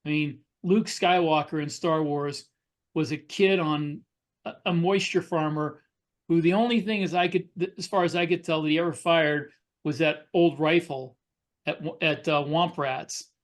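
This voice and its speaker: A man's voice: on the high side, steady tone, normal speed. A man co-hosting a podcast, explaining his opinions in casual discussion. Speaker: podcast co-host.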